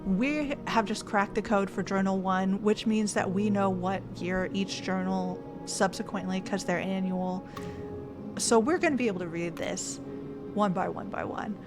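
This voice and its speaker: A woman's voice: medium pitch, unhurried. Chatty woman streamer musing aloud. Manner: chatty, thinks out loud, laughs and mulls puzzles. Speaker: gaming streamer. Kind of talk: let's play commentary, reading game text aloud. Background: game music.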